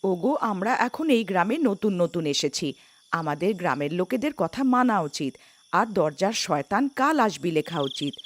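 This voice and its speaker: A woman's voice: on the low side, very animated, normal speed. A woman voicing an anxious, feisty village wife in a cartoon story, nagging and pleading. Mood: worried. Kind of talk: children's moral-story cartoon acting.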